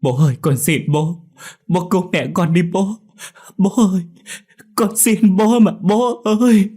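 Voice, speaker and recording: A man's voice: high voice, unhurried, mellow, breathy edge, voice pushed up. A man voicing a distraught young boy in a story, wailing and pleading for his mother. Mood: desperate pleading. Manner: wailing, pleading, stammering when shocked.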